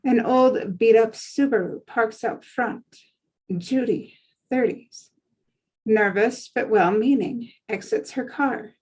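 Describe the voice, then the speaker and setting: A woman's voice: fairly high pitch, poor audio. A woman reading screenplay scene descriptions aloud as a narrator at a table read.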